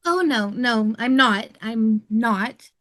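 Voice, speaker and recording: A woman's voice: a little high, slow, fairly bright tone, no room sound, clean studio sound. A woman playing an anxious, timid, earnest academic in an amateur comedy table read.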